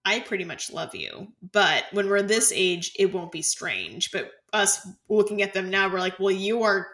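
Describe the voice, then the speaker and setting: A woman's voice: medium pitch, lively intonation, moderate pace, a bit bright. A woman co-hosting a casual film discussion, explaining her thoughts in a conversational, rambling way. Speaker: podcast co-host discussing a film.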